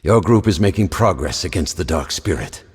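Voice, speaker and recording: A man's voice: deep voice, expressive, normal speed, darkish tone, husky, full character voice. A male actor playing a grave, scholarly professor who narrates a video game in a solemn, formal way. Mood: approving, measured. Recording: long echoing reverb.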